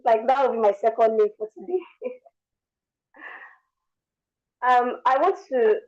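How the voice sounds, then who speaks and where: A woman's voice: on the high side, animated, unhurried, darkish tone, lo-fi sound. Young woman speaking with a worn voice. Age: young adult. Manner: earnest, repeats words while speaking. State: lost her voice. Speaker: guest speaker. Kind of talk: motivational talk.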